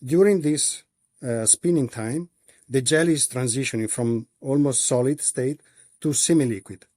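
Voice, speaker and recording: A man from Italy with an Italian accent, answering interview questions as a company executive in a halting way with many pauses and restarts. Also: mid-range voice, mellow, no echo, studio quality.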